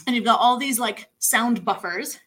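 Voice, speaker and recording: A woman's voice: a little high, moderate pace, a bit bright, husky. A woman in a radio studio chatting casually as an accent coach, explaining things patiently and often checking in with "right?".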